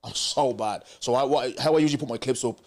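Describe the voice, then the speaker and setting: A man's voice: medium pitch, fast. A man, hosting a podcast in a rambling casual chat. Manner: rambling, repeats "do you get me". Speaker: podcast host.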